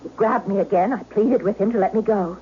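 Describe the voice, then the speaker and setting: A woman's voice: medium pitch, brisk, dark tone, close to an everyday voice. An actress playing an anxious, pleading noblewoman in an old-time radio drama, with formal, beseeching diction. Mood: distressed. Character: pleading, anxious noblewoman defending herself to jealous husband. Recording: dull, noisy old radio recording.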